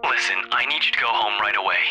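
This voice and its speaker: Casting: brusque young friend, worried, game drama, very bright forceful voice. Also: male voice, lowish voice, fast talker, faint music behind, rough recording. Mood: brusque, uneasy. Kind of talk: visual novel voice acting.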